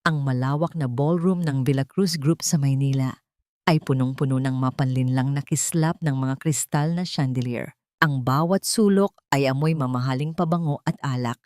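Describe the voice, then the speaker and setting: Female narrator, low pitch, dramatic scripted storytelling, audio drama, dry studio-like sound. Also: normal speed, a little mellow, dry recording.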